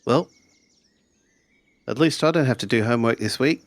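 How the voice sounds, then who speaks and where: A man's voice: medium pitch, moderate pace, a little hiss or hum, close to an everyday voice. A man roleplaying a laid-back, skeptical schoolboy in a 1980s sci-fi mystery tabletop game, talking in a dry, hesitant way. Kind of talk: improvised in-character tabletop roleplay.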